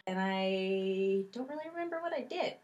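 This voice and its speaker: A woman's voice: mid-range voice, lively intonation, moderate pace, a little mellow, muffled recording. A woman being interviewed as a guest in a studio, telling stories in a chatty, light, self-deprecating way. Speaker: actress guest. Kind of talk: casual interview chat. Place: recording studio.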